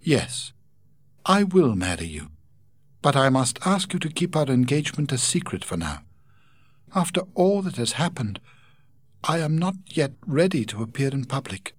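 Man putting on a miserable young lady, high husky voice, pleading, dry close sound. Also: very animated, normal speed, no echo. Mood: resigned. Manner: pleading and tearful. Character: heartbroken young woman, earnest and anguished.

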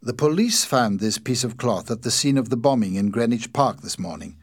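A man reads as a police chief inspector in an audiobook. He is formal, firm and probing, with a husky edge and lively, emphatic pitch movement. His questioning is measured and insistent. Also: no echo, studio quality, natural voice. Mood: grave. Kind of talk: audiobook character dialogue.

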